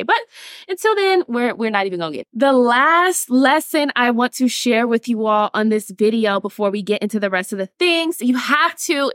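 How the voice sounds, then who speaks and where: A woman's voice: on the high side, no echo, clean studio sound. A woman, talking casually to her audience as host. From Atlanta, Georgia. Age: 20s. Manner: chatty, animated, laughs often, addresses listeners as y'all. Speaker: TikTok creator and podcast host. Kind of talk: casual talk to camera.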